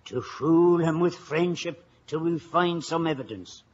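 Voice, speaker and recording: An actor playing a vain, blustery old Irish village police sergeant in a radio comedy. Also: male voice, a little high, unhurried, breathy edge. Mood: scheming. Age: older. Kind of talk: 1950s radio comedy acting. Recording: muffled vintage radio broadcast.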